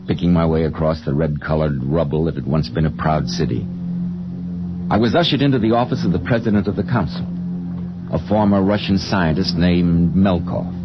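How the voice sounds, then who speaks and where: Actor playing a very deep, earnest scientist in radio drama. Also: male voice, natural voice. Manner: grave, literary first-person narration and earnest dialogue. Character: male scientist doctor narrating a post-atomic future, earnest, weary. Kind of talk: 1950s radio drama acting. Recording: dull, hissy old radio recording.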